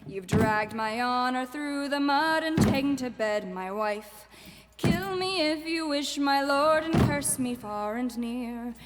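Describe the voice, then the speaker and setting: A woman's voice: high-pitched, expressive, slow. A woman performing as a ballad singer in a fantasy audio drama, singing a bold story-song. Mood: storytelling in song. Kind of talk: sung narrative folk ballad. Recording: echoey hall, loud music behind.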